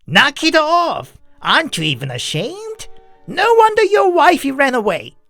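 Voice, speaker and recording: A man's voice: very high voice, huge pitch swings, a little slow, vocal fry, sharp tone, no echo, soft background music, pitched above the performer's own voice, exaggerated voice. A man voicing a hot-tempered, scornful male neighbor in a dramatized story, blunt and scoffing.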